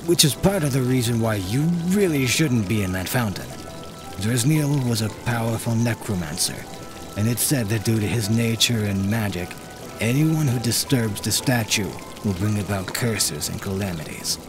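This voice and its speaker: Weary male sorcerer, acted by a man, lowish pitch, grudging, hesitant, fantasy audio story, music behind. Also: expressive, moderate pace, natural voice. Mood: warning. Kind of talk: dramatised fantasy audiobook acting.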